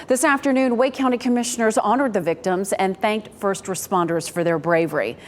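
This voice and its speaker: A woman's voice: mid-range voice, fairly quick, long reverb tail, slight background noise. A woman with an American accent reading scripted television news as an anchor.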